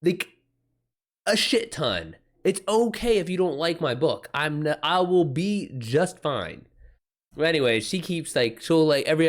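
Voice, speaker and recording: A man chatting informally as an author on a livestream, rambling in loose, slangy, sweary talk. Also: a little high. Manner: rambling, retells exchanges in quoted back-and-forth. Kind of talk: casual livestream chat with anecdotes.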